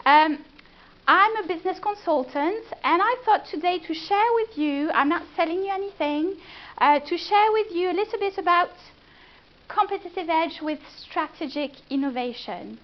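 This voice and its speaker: A woman's voice: very high-pitched, expressive, normal speed. A woman with a French accent, a business consultant giving a live presentation and chatting with the audience. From France. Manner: chatty, engaging, asks the room questions. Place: event room before a live audience. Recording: dull lo-fi event recording.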